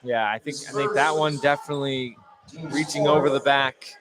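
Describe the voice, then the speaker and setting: A man giving conversational live color commentary on a basketball game in a halting, hesitant way that repeats words. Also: mid-range voice, steady tone, over a noisy crowd, poor audio. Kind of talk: conversational live sports analysis. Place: indoor gymnasium during a game.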